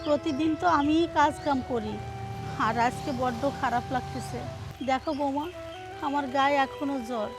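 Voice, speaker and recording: A woman acting as a frail, feverish old mother-in-law who begs her daughter-in-law with soft, humble words. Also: high-pitched, moderate pace, close to an everyday voice. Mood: weak pleading. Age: older. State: feverish and unwell. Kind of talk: melodramatic domestic drama acting. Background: music.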